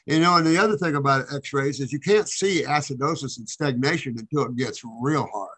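A man's voice: medium pitch, fairly quick, fairly bright tone, slightly rough. A man hosting a health call-in show, giving rambling, folksy advice to callers. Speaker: host answering callers.

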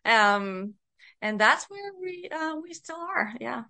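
A woman's voice: high voice, very animated, takes it slowly, sharp tone. A middle-aged woman with a Bulgarian accent, giving casual, hesitant and giggly answers as a podcast guest. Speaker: podcast guest, author and writing coach.

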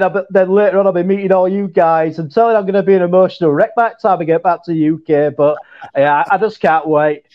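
High-voiced man with a Yorkshire accent. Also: dull audio. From Leeds, northern England. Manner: hesitant, many fillers, says mate a lot. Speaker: guest. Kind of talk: casual chat.

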